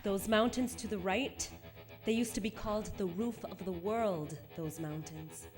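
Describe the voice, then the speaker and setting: An actress playing a polite, submissive airship attendant in a radio drama. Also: female voice, medium pitch, unhurried, darkish tone, soft background music, lightly acted. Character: deferential, apologetic female airship attendant.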